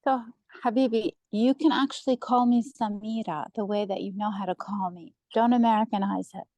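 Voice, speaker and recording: A woman's voice: mid-range voice, fairly even intonation, normal speed, mellow, dry recording, dull audio. A woman chatting playfully and affectionately with her cousin over a video call. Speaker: participant, host's cousin. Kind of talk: casual chat.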